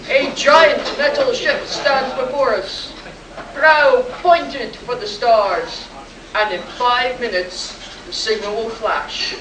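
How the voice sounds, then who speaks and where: A portentous announcer for an old science-fiction radio drama, played by a woman. She speaks slowly and solemnly, fairly high in pitch. The voice comes from a distance in a roomy, noisy hall. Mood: dramatic, solemn. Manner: measured, portentous delivery. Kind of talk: 1950s-style radio drama narration. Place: convention hall, live stage performance.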